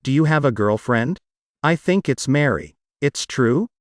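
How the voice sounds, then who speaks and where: A man reading the questions of a scripted English lesson dialogue in a plain, even way. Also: medium pitch, measured pace, no room sound, studio quality.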